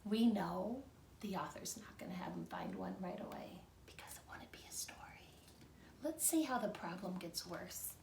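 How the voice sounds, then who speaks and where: A woman with a bright, fairly high voice reads a picture book to young children at a slow pace, stepping out to teach as she goes. She is expressive in the way of a classroom teacher. The sound is roomy and a little far from the microphone. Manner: slow, animated storybook delivery with teaching asides. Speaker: second grade teacher. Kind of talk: expressive read-aloud lesson for young children. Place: indoors at home. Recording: roomy echo, distant microphone.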